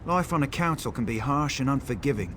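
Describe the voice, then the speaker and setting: A man's voice: medium pitch, expressive, a little fast, close to an everyday voice. Man playing a wry wood elf, noisy ambience. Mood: wistful. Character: curious, sheltered wood elf man warrior.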